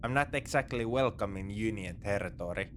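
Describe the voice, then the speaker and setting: A man's voice: fairly low pitch, brisk, a little hiss or hum, natural voice. A man playing a wry, morally grey space pirate in a sci-fi ASMR roleplay, with a conversational, nonchalant, dryly teasing delivery. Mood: teasing, reassuring. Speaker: audio roleplay performer.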